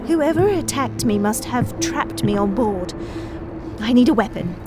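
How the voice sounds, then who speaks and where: A woman's voice: a little high, animated, a little slow, over loud music. A woman playing a spirited, indignant young Regency heiress in an audio drama, speaking in a prim, formal, wordy way. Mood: jittery, determined. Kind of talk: full-cast audio drama acting.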